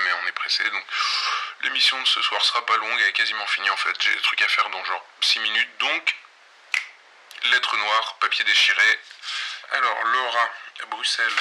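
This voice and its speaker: Man acting a pirate radio host, audio fiction, very bright edgy tone, sardonic, slangy, halting, faint background noise. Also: on the low side, low-quality recording. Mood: hurried, offhand. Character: irreverent, weary male pirate radio host on night show. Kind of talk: casual improvised late-night radio monologue in audio fiction.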